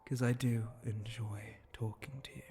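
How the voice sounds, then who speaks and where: A man playing a flirty, teasing spider-monster bartender in an intimate ASMR roleplay, murmuring and often dropping into a breathy whisper. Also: mid-range voice, steady tone, warm tone, faint music behind, natural voice. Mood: intimate, playful. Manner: slow, teasing, murmuring, lingering pauses.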